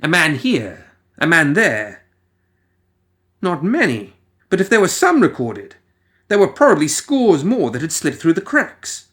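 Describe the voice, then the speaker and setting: A man reading a story as a dramatic narrator, musing theatrically with deliberate pauses and rhetorical asides. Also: a little high, big pitch swings, fairly bright tone. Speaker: audio fiction reader.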